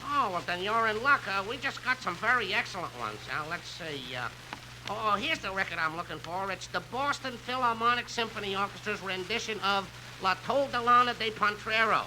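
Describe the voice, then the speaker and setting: A goofy eager american salesman, really high voice, stammery, noisy old radio show. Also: expressive, brisk. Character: eager, goofy, oddball record store salesman. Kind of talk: 1950s radio comedy acting.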